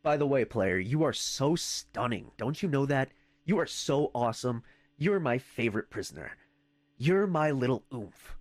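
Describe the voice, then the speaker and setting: A man playing a wry, bossy headquarters dispatcher in a video game, giving deadpan official orders with goofy, sarcastic asides. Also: medium pitch, normal speed, no echo, natural voice. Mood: playful, flattering. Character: wry, bossy, easily exasperated male headquarters dispatcher sending prisoners on missions. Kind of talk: video game mission briefing voice lines.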